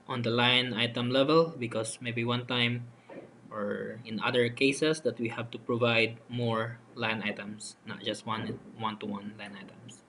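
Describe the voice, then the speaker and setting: Man narrating a software tutorial over noise. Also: mid-range voice. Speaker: software demonstrator. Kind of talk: step-by-step screen tutorial narration. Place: voice-over for a screen recording. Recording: noticeable steady background noise.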